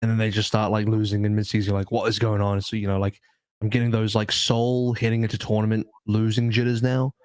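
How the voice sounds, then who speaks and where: A man's voice: lowish voice, flat delivery, a little fast, smooth, dry recording. Informal male panelist, dry close sound. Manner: sweary, informal. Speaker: esports podcast panelist. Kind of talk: casual banter and opinion.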